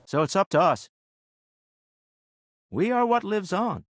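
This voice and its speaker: Wry, urbane older businessman, animated delivery. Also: sits high, a little slow, dry recording, clean studio sound. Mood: subdued. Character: wealthy older businessman, urbane, pragmatic, wry financier of explorers. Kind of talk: video game character dialogue.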